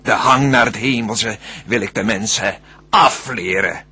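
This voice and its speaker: An actor playing a boastful, vengeful demon tempter in a radio play, with drawn-out, theatrical verse delivery. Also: male voice, lowish voice, sharp tone. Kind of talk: radio drama verse acting.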